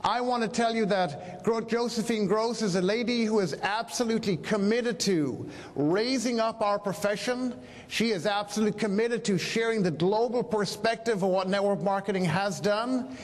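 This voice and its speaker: A man serves as emcee at a convention and hypes up the next speaker. His voice is notably high and eager. It rings out through a large hall with long reverb. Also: normal speed. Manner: enthusiastic, building to a shouted introduction. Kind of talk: stage introduction.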